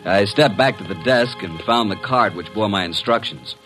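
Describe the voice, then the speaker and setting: An actor playing a breezy male detective's assistant in an old radio drama, with a wry, smooth-talking manner. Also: lowish voice, normal speed, over music, close to an everyday voice, rough recording. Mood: wry narrating. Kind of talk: 1940s-50s radio drama acting and narration. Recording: vintage radio drama recording.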